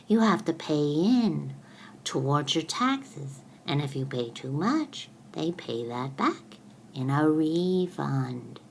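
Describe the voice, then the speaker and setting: A woman playing a patient, motherly explainer who gently corrects and teaches in a storybook skit. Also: low voice, sing-song, slow, vocal fry, slight background noise, heavily acted. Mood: patiently explaining.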